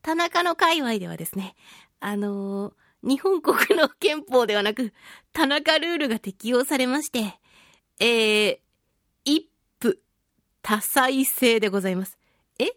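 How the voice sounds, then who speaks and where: A woman hosting a solo radio talk show in a casual, playful and theatrical way, giggly and swooping between exclamations and low asides, close on a studio microphone. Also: expressive, a little slow, fairly bright tone, no room sound. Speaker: radio show host, voice actor.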